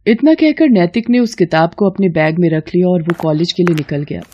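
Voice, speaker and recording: Woman storyteller with a mellow, dark voice. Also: fairly low pitch, fairly quick, highs cut off. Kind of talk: scripted story narration.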